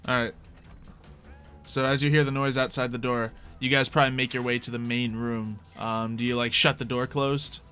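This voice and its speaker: Casting: male game master, forceful bright voice, casual tabletop narration, music faintly underneath. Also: medium pitch, level tone, normal speed.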